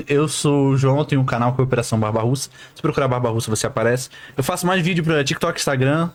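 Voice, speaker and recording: A man rattles off his talk at a fast clip, with a middle-range voice. He is joking and self-deprecating in a casual podcast chat. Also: medium pitch. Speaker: podcast guest, social media creator.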